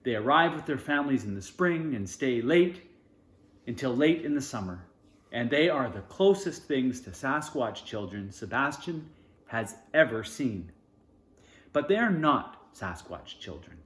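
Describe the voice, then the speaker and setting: A man's voice: mid-range voice, sing-song, a little slow, a bit bright, roomy sound. A man reading a children's storybook aloud, expressively, in short phrases with informal asides. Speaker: storybook reader.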